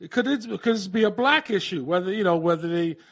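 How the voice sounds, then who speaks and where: A man with an American accent and a high-pitched voice hosts casual radio talk. He sounds agreeable and halting, circling back over his phrases, and the audio is dull and lo-fi. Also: expressive, a bit bright. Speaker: radio host.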